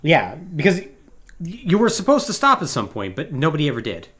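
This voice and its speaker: A man's voice: on the high side, wildly swooping pitch, a little fast, fairly bright tone. A man talking casually as a podcast co-host, explaining game storylines in a rambling, joking, exasperated way.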